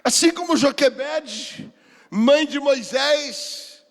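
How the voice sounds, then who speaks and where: High-pitched older Brazilian man preaching, echoing hall. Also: slow, a bit bright. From Rio de Janeiro, Brazil. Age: 60s. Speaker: pastor, seminar speaker. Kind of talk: sermon with personal testimony. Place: church hall, speaking to a seminar. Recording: reverberant hall.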